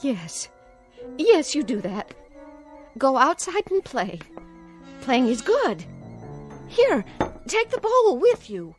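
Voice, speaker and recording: An actress playing an anxious, doting aunt in a radio drama, sweetly coaxing and nervously repeating herself. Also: female voice, high voice, full character voice. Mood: pleading. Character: anxious, doting aunt placating a feared nephew.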